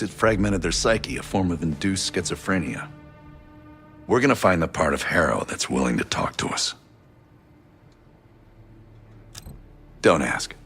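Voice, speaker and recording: An actor playing a cool, calculating middle-aged male CIA interrogator, measured and clinical, in a video game. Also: low voice, a bit bright, husky, full character voice. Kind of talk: cinematic game acting.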